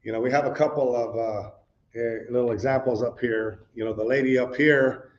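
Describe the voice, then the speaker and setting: A man's voice: mid-range voice, fairly even intonation, normal speed. A middle-aged man preaching in a loose, conversational way, often saying "you know" and asking his audience questions. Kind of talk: conversational teaching sermon with slides. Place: church meeting room with a responding audience. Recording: dull lo-fi recording.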